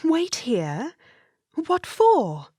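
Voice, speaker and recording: Lively, scolding Victorian lady. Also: sits high, dry recording, studio quality, full character voice. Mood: exasperated. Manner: brisk, scolding, theatrical. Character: brisk, sensible married Victorian lady, lively and exasperated. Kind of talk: audiobook drama acting.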